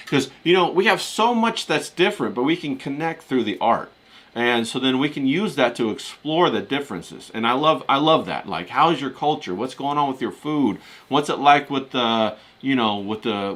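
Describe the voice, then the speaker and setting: A man's voice: mid-range voice, bright, forceful tone. A man hosting a livestream, chatting casually in a folksy, colloquial, rambling way and often repeating himself.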